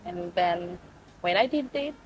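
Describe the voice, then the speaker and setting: A woman's voice: lowish voice, lively intonation, unhurried, a little mellow, a little hiss or hum, rough recording. A woman in her late twenties from Fiji, giving a personal account as an interview guest. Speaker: podcast guest, postgraduate student. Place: couch in a room.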